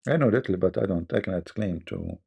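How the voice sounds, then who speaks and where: A man's voice: low voice, brisk, creaky, dark tone, breathy edge. A man with an Arabic accent, speaking as host of a live debate stream in a blunt, scornful manner.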